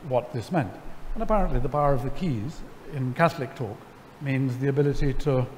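A man's voice: medium pitch, fairly quick, a little hiss or hum. Middle-aged guy telling stories on stage, lots of ums, echoey big church. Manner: animated, gesturing, wry, many ums and restarts. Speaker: lecture guest. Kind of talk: storytelling in an on-stage conversation. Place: large church sanctuary before an audience. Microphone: headset mic. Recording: hall reverb, faint ambience.

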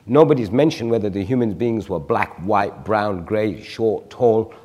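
A man's voice: fairly low pitch, unhurried, a little mellow, in a large hall. A man in his forties, a guest on a studio panel discussion, explaining his view reflectively with examples. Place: TV studio stage.

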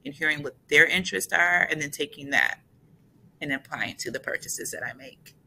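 Bright-voiced teacher woman, conversational. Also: sits low, moderate pace, creaky, poor audio. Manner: restarts, conversational. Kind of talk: casual panel answer. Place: indoor room. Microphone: webcam on video call.

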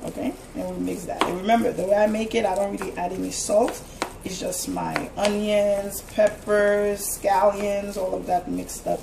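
A woman's voice: medium pitch, flat delivery, normal speed, gravelly, echoey room. Woman, home cooking host, casual and instructive, distant camera mic, sizzling pan and kitchen noise behind. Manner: chatty, instructive, talks while cooking. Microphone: camera mic from across the kitchen.

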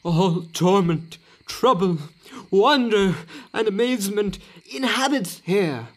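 Guy acting a loyal shaken old courtier, high voice, slow earnest shakespeare radio drama. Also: lively intonation, heavily acted. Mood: fearful wonder.

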